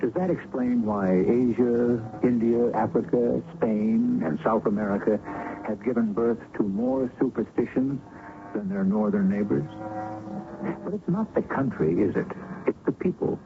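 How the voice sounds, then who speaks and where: An actor as an ominous, philosophical host, giving deliberate dramatic narration for a radio mystery. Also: male voice, medium pitch, vocal fry. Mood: brooding, ominous. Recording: muffled, noisy old radio recording.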